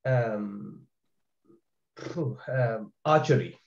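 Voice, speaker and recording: A man's voice: on the low side, drawn-out words, creaky, a little mellow. A man competing in a quiz, thinking aloud casually and hesitantly, often repeating words. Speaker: quiz contestant. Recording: echoey room, dull muffled audio.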